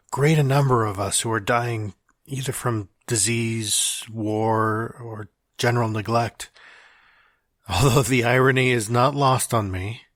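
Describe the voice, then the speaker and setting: A man playing a dying man with gallows humor, reading out his farewell letter in a wry, deliberate, reflective way. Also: medium pitch, a bit bright, no room sound, studio quality.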